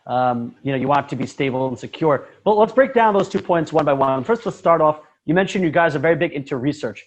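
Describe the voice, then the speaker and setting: A man's voice: fairly high pitch, expressive, quick pace. A man hosting a casual interview, upbeat and friendly as he asks the guest questions. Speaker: interview host.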